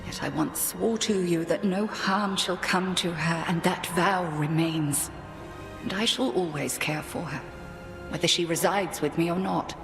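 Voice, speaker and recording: A proud witch, solemn and devoted to her ward, in a fantasy game. The voice has marked creak and a formal, deliberate delivery, echoing over music. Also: lowish voice. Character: proud, devoted witch, a girl's stern guardian. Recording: echoey room, music behind.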